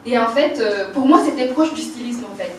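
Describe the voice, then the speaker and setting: A woman's voice: on the high side, fairly quick, a little hiss or hum. A woman in her forties with a Burkinabe French accent, telling her life story with laughter and frequent hesitations. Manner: animated, laughing, many hesitations. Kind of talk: personal life story talk. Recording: roomy, distant mic, faint ambience.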